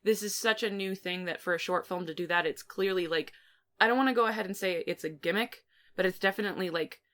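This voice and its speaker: A woman's voice: fairly low pitch, quick pace, a bit bright. A woman co-hosting a film review podcast, talking casually and informally with some mild swearing. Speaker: podcast co-host reviewing films.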